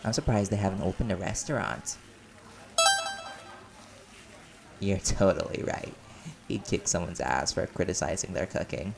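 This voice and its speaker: A man's voice: low-pitched, expressive, fairly quick, creaky, very noisy. An actor playing a playful, caring man in a chatty, teasing audio roleplay conversation. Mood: warm, playful. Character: silly, playful, caring man at a community party.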